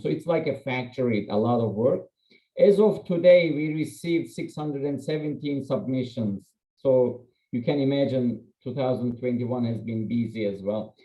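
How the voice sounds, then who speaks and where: Turkish-born man giving a webinar talk. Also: mid-range voice. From Turkey. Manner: candid, self-deprecating, conversational with frequent fillers. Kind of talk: academic webinar presentation. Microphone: computer mic on video call. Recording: echoey, slightly muffled video-call audio.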